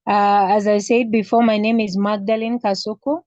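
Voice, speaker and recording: A woman from Kenya hosting an online webinar, speaking in a measured way with pauses and fillers. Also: mid-range voice, little pitch movement, a little mellow, no echo. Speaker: webinar host. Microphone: video call. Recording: dull, lo-fi video call audio.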